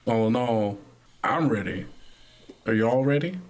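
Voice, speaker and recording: A man's voice: mid-range voice, animated, unhurried. A man hosting a tabletop game, chatting casually and narrating scenes as game master. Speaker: game master and podcast host.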